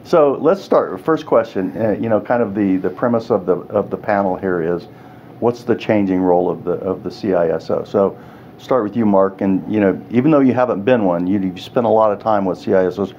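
A man's voice: on the low side, fairly quick, darkish tone. A man in his sixties moderating a panel, hesitant with many fillers. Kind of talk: panel discussion. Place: hotel room studio set. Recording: dull sound, faint room hiss.

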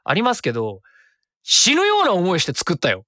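A man's voice: high voice, wildly swooping pitch, a little fast, sharp tone, no room sound, clean studio sound. A man hosting his own web radio show, chatting and reading listener comments in a rambling way with many fillers.

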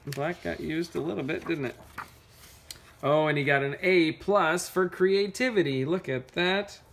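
A woman's voice: low-pitched, measured pace, creaky, a little hiss or hum. A woman reading a picture book aloud casually to children, chatting and asking them questions about it. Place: carpeted room at home.

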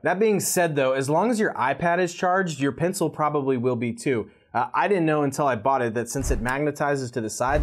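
A man, reviewing cockpit gear to camera in a small home studio, chatty and laughing at his own slips. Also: mid-range voice. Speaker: pilot and aviation channel host.

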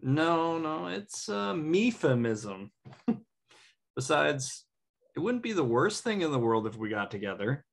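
A man's voice: on the high side, sing-song, takes it slowly, creaky, full character voice. A man playing a sheepish, easygoing best friend in a sitcom table read, hesitant and joking. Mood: evasive. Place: dim indoor room at home on video call. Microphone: video call.

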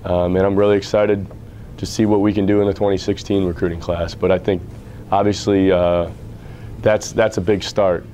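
An American man in his thirties giving an interview as a basketball coach, measured and earnest. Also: sits low, level tone, dark tone, a little hiss or hum. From Illinois, United States. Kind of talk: on-camera interview about his career. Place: indoor athletics office, seated before camera.

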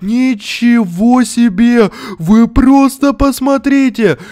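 High-pitched young male gamer. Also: slow, warm tone. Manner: exclamatory, repetitive, addresses viewers. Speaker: gaming video host. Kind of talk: Let's Play commentary with role-play.

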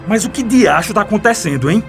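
Agitated, quick-talking Brazilian man. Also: high-pitched, animated. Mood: agitated, suspicious. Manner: urgent, blunt. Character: agitated, impatient man demanding answers. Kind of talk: Brazilian radio soap opera acting. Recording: clear music under the voice.

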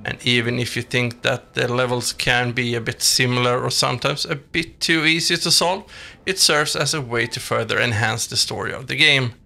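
A man's voice: medium pitch, moderate pace, creaky, pushed and bright. A man reading a scripted video game review as a voice-over. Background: background music.

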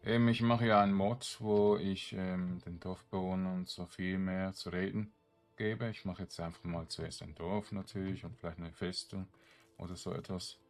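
A man's voice: low voice, level tone, normal speed, soft background music. A man streaming a game, commenting informally to himself and his viewers and reading out game text. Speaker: gaming streamer, let's player. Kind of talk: casual game commentary with some read-aloud game text.

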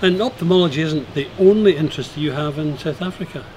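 A man's voice: on the high side, quick pace, a little mellow. An older man interviewing a guest with formal, polished questions on an outdoor terrace. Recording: outdoor noise behind voice.

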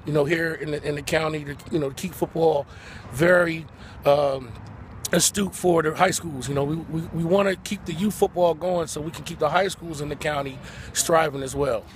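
A man's voice: on the high side, fairly even intonation, normal speed. A man in his forties giving casual interview answers as a youth sports program director. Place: outdoors by a sports complex. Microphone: handheld phone. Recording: outdoor phone video with background noise.

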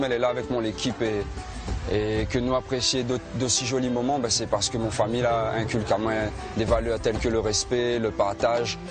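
A young man from Réunion in a casual interview, hesitant and full of fillers. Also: mid-range voice. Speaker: rapper. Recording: music bed underneath.

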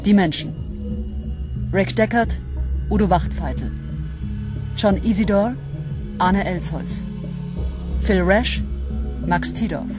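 A woman announces radio play credits very slowly, in measured, clipped phrases. Music runs clearly behind her. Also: medium pitch. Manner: measured, clipped list reading. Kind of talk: scripted radio drama credits reading.

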